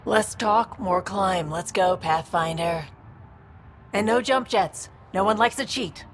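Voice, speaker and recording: A woman's voice: mid-range voice, takes it slowly, a little hiss or hum, close to an everyday voice. Woman playing a bold, competitive adventurer. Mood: playful challenge. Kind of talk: video game dialogue acting.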